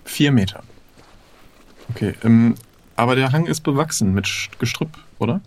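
A man's voice: medium pitch, lively intonation, normal speed, darkish tone. Man thinking aloud in a roleplay. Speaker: player leading an improvised pirate adventure game. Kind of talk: improvised tabletop roleplay talk.

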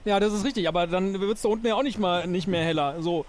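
A man's voice: sits high, fast. A man casually improvising as a player in a role-play audio adventure, chatty and self-deprecating.

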